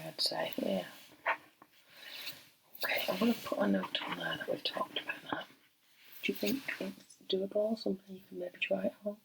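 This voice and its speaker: A middle-aged woman speaking softly in an ASMR doctor role play, breathy and often slipping into a whisper, gentle and unhurried, close to the microphone. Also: mid-range voice, heavy background noise. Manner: gentle, unhurried, hesitant pauses. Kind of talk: soft-spoken ASMR medical role play.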